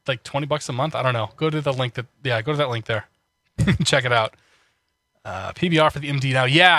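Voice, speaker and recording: A man's voice: medium pitch, bright, forceful tone, dry recording, studio quality. A man streaming a flight sim, chatting casually with viewers, with a slightly congested voice and many restarts. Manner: chatty, frequent restarts, laughs often. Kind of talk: casual live-stream chat.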